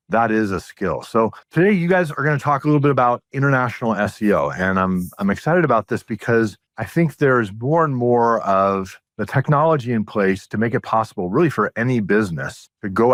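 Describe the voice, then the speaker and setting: A man hosts an interview podcast with a fairly low voice. He guides the talk conversationally and drops emphatic asides. The sound is dry and close. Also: fairly bright tone, no room sound. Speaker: podcast host.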